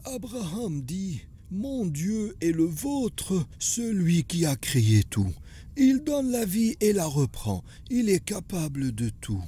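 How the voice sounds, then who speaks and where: Slow, mellow, solemn woman as cartoon narrator. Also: on the low side, big pitch swings, breathy edge, exaggerated voice. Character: solemn narrator relaying a prophet's replies. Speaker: narrator relaying a prophet's words.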